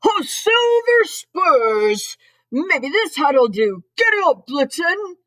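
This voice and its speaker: A jolly storybook Santa, acted out by a woman reading to children. The voice is pushed high and loud, with slow, drawn-out exclamations. It swoops widely and sounds excitable and hearty. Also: rough recording. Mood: playful, hearty. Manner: booming, drawn-out, exclamatory delivery. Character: jolly, excitable Santa Claus, old man trying hats. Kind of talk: children's storybook read-aloud.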